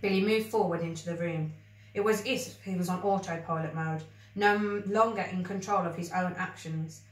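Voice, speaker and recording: A woman reading a children's story aloud with expressive narration. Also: on the low side, moderate pace. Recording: roomy, echoey, distant microphone.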